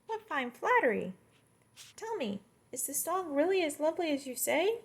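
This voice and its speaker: Woman voicing a high-pitched proud little locust. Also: big pitch swings, normal speed. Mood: flattered. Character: proud little locust singer, fussy teacher.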